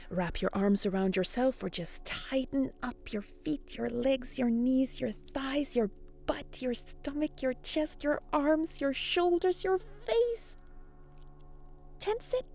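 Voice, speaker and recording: A woman hosting a bedtime story, guiding relaxation in a soft, soothing, often whispered voice. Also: on the high side. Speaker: storyteller and host.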